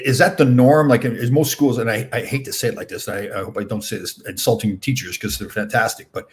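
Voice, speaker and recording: A middle-aged man hosts a relaxed conversation, asking questions at a brisk, rapid clip. He thinks out loud as he goes, stumbling over restarts and repeating words. His voice sits at a middle pitch. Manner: thinking aloud with many restarts. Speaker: podcast host. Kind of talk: casual interview chat.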